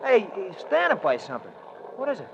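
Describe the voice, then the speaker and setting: An excitable, simple-hearted sidekick from an old radio adventure. The voice bounces up and down eagerly in a dull, noisy vintage recording. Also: male voice, high-pitched, a little fast, vocal fry, full character voice. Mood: eager wonder. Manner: eager, gee-whiz, slangy. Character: excitable, naive, good-natured male sidekick. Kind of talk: 1940s radio acting. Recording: muffled old radio, engine noise.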